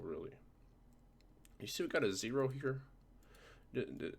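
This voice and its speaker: A man presenting a software tutorial informally, often checking that the listener is following along. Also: on the low side, vocal fry. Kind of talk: casual screen-recorded software tutorial.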